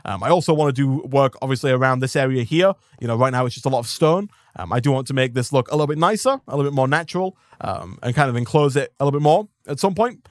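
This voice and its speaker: Fast-talking, slightly hoarse male streamer. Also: medium pitch, lively intonation, dry recording, clean studio sound. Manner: rambling, upbeat, thanks viewers by name. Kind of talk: live gameplay stream commentary.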